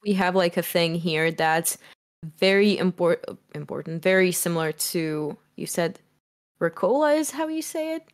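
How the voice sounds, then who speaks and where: Young woman, low voice, non-native English speaker, hesitant guest in a casual podcast chat. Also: lively intonation, moderate pace. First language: not English. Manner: hesitant, many fillers.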